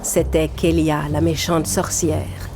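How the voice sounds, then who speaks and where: A woman's voice: sits low, flat delivery, slow, noisy background. A woman narrator telling a children's fairy tale in a scripted storytelling style.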